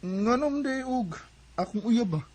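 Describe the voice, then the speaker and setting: A man's voice: very high-pitched, lively intonation, measured pace, a little mellow, no room sound, heavily acted. An actor playing a shy, friendly young man in a radio drama, with a Filipino accent. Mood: teasing. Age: young adult. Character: friendly, shy young man courting, telling his life story.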